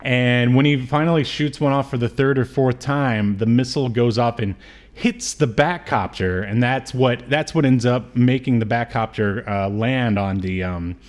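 A man with a mid-pitched voice co-hosts a casual movie chat over a video call. He talks in slangy, joking everyday language. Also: normal speed. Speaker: podcast co-host. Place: indoor room, on a video call.

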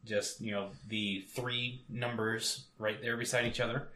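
A man's voice: fairly low pitch, flat delivery, measured pace, bright, forceful tone, reverberant, rough recording. A man co-hosting a casual tech podcast, rambling with many fillers. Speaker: podcast co-host.

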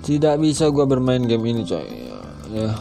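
A man's voice: mid-range voice, wildly swooping pitch, moderate pace, creaky, warm tone. A young man with an Indonesian accent giving casual, slangy gameplay commentary into a headset mic. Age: young adult. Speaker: gaming YouTuber. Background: game music.

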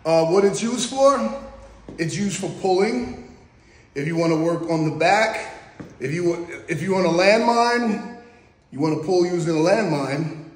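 A man's voice: high voice, breathy edge. An older man coaching, chatting upbeat to a close phone camera while working out in a large indoor gym. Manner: upbeat and chatty, talking to camera mid-workout. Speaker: fitness coach. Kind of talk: gym demo vlog. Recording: loud music behind.

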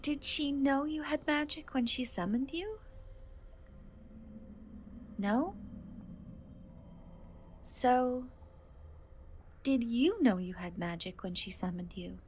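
Woman voicing a slow, gentle young cat girl. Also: high voice, expressive, a little hiss or hum. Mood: curious, impressed. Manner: soft, hesitant, reassuring. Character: gentle, caring young cat girl rescuer in a fantasy world. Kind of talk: soft-spoken fantasy ASMR roleplay.

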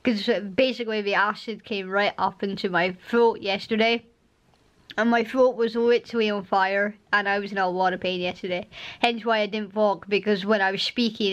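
Hoarse young Scottish woman vlogging. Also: medium pitch, fairly bright tone. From Scotland. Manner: rambling, laughs often. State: hoarse, strained throat. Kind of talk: casual chatty vlog. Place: living room at home. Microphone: camera at arm's length.

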